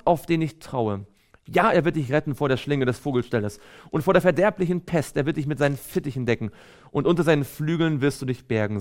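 A man preaching a sermon in an earnest, exhortative way, reading scripture aloud and stressing key phrases. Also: fairly high pitch.

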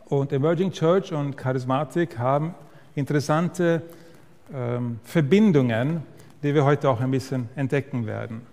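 A man's voice: medium pitch, normal speed, darkish tone. A man in his fifties from Sweden with an Austrian-Swedish accent, a pastor giving a friendly church lecture that speaks directly to the listeners, with occasional hesitations. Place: church hall. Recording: reverberant hall.

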